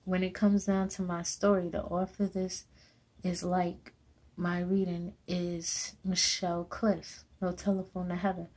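A young woman from Jamaica with a Jamaican accent, slowly reading a personal essay to a webcam in a small room at home. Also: on the low side, level tone, darkish tone. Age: young adult. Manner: slow, halting reading with restarts. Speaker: student. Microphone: webcam or laptop camera close up.

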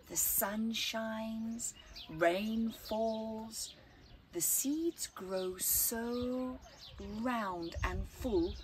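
A middle-aged woman reading a children's picture book aloud outdoors, phrasing deliberately with dramatic emphasis and hushed words. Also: a little high, slight background noise. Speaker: storytime reader. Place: outdoors at a flower farm. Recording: outdoor ambience with birdsong.

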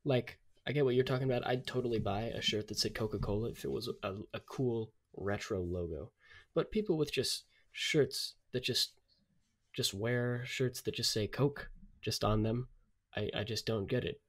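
A man's voice: mid-range voice, moderate pace. A man co-hosting a podcast in casual comic chat, talking informally and with emphasis. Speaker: podcast co-host.

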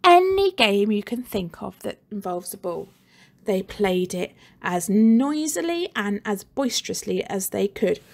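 Lively woman reading a children's story. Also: mid-range voice, a little slow, vocal fry. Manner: expressive, animated storytelling. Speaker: teacher reading aloud. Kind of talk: children's book read-aloud.

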